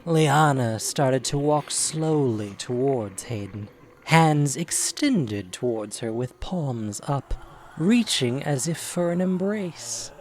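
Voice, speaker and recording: A male narrator tells a dramatic scripted story. His medium-pitched voice is deliberate and slow, with lively, expressive swings, over faint background noise.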